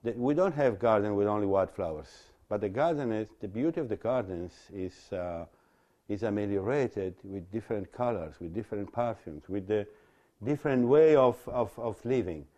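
Dark-voiced Italian man giving a talk. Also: lowish voice, expressive. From Italy. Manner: halting, repetitive phrasing with rhetorical questions. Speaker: religious lecturer. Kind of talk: explanatory talk to an audience.

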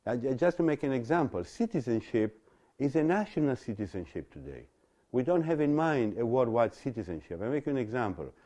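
A man with an Italian accent and native Italian, giving an explanatory lecture in a halting, repetitive way with rhetorical questions. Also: mid-range voice, very animated, warm tone. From Italy. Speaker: religious lecturer. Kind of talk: explanatory talk to an audience.

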